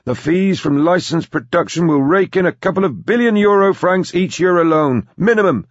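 A cantankerous old man, pitched far up and pushed loud. He is domineering, blunt and sardonic, an old tycoon who talks in emphatic bursts, in a dry, slightly dull recording. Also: moderate pace, no echo. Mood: gleeful. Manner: blunt, emphatic, mildly coarse.

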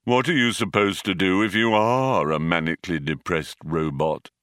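A man's voice: low-pitched, fairly even intonation, normal speed, a bit bright, clean tone, no echo, clean studio sound. A man voicing a manically depressed male robot, droning dolefully in a comic science-fiction audiobook. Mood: morose.

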